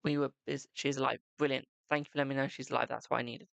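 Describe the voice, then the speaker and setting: A man's voice: medium pitch, flat delivery, very fast, gravelly, a bit bright, clear, resonant tone, no room sound. A man giving a live-stream psychic tarot reading, chatting to viewers and calling them "my darling".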